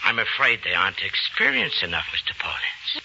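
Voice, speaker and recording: A man's voice: lowish voice, wildly swooping pitch, normal speed, creaky, bright, forceful tone, full character voice. An actor playing a modest, cautious father and market trader, polite and plain-spoken, in an old radio drama. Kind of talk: 1940s radio drama acting. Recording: dull old radio recording, faint noise.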